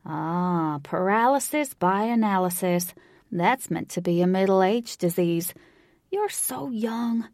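A woman puts on a big old Southern American man from a storybook, talking in a slow, loud cowboy drawl. He is folksy and avuncular, jovially doling out encouragement. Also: medium pitch, animated, dry recording, studio quality. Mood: jovial, encouraging.

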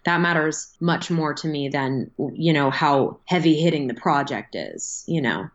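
A woman voice actress with a husky voice, talking earnestly about her work in a casual interview. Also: sits low, animated, unhurried, lo-fi sound. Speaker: voice actress guest.

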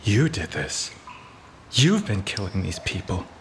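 A man's voice: deep voice, big pitch swings, measured pace, full character voice. A man acting a put-upon detective's sidekick who turns coolly menacing, with a theatrical, sardonic delivery, in a hard-boiled comic audio drama. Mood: accusing. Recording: clear background noise with clicks.

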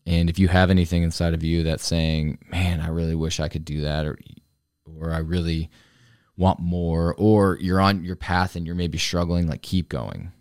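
A man's voice: low-pitched, steady tone, moderate pace, darkish tone, clear, resonant tone, dry recording, studio quality. A man in his forties talking on his own about turning forty, earnest and rambling, often restarting his sentences. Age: 40s. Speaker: vlogger, fitness coach. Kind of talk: reflective solo talk to camera.

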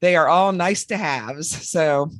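A woman in her sixties with a hoarse voice from a cold, chatting casually as a podcast co-host and laughing between phrases. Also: low voice, takes it slowly, no echo.

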